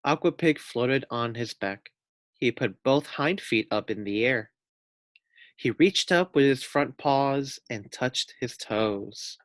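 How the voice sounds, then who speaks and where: A male teacher narrates a storybook for young children. He phrases each sentence deliberately and slowly, at a middling pitch, in a dry recording with no room sound. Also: expressive, dry recording. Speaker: teacher reading aloud to first graders.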